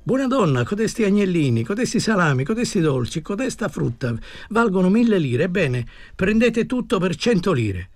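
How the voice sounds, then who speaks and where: A man's voice: high voice, big pitch swings, normal speed, few pauses, dry recording, voice pushed up. A man voicing a desperate, cajoling male character in a storybook, pleading insistently. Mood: wheedling. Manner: coaxing, insistent, theatrical pleading.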